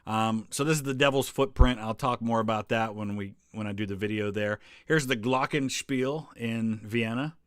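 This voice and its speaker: A man's voice: on the low side, normal speed, hardly any pauses, smooth, dry recording, clean studio sound. A man hosting a live stream, chatting loosely through travel photos and viewer questions, with many ums and restarts. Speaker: history channel host answering live chat.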